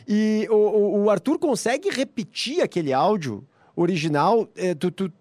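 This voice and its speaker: High-voiced, animated podcast host. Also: male voice, moderate pace, no room sound. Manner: laughing, enthusiastic, informal slang. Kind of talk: casual interview chat.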